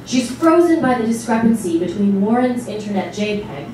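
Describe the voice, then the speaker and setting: A woman's voice: a little high, very animated, normal speed. Female narrator for live literary reading, wry, heard from a distance with background noise. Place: small indoor theatre stage before an audience. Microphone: far camera. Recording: distant, very echoey, noisy live stage recording.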